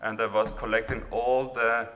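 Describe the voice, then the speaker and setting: A man with an Austrian accent, a native German speaker, giving an informal, halting presentation of his own art project. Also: mid-range voice, little pitch movement, sharp tone. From rural Austria near the Hungarian border. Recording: reverberant hall, background noise.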